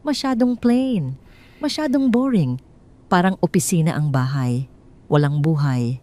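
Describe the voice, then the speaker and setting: A woman's voice: low-pitched, expressive, a little slow, warm tone. A woman voicing a vain, spiteful mistress who talks in a bossy, scornful way. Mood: haughty.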